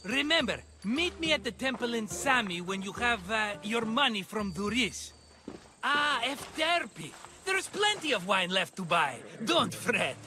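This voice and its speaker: A man's voice: very high voice, very animated, unhurried, music in the background, full character voice. An actor playing a cheerful, scheming merchant in a video game, with theatrical, ingratiating salesman patter. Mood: flustered, coaxing. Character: cheerful scheming male merchant, wheedling and excitable. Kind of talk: video game character acting.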